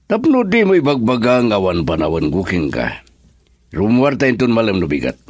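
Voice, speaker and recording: Low, animated Filipino husband. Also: male voice, a little fast, no room sound, clean studio sound. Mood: conciliatory. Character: guarded, denying husband and businessman. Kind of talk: Ilocano radio drama acting.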